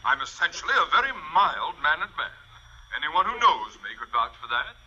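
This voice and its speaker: An actor playing a pompous, short-tempered male high school principal in old-time radio comedy, with a blustering manner. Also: sing-song, brisk, sharp tone, breathy edge, full character voice. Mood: appalled. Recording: dull, faintly noisy old radio recording.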